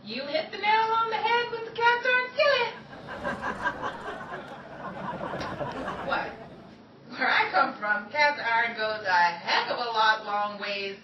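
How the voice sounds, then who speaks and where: A woman's voice: sits high, dull audio. A woman playing a brassy, ambitious young hopeful actress in a 1930s-style radio comedy, chatty, folksy and wisecracking. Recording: echoey stage, distant mic, noisy audience.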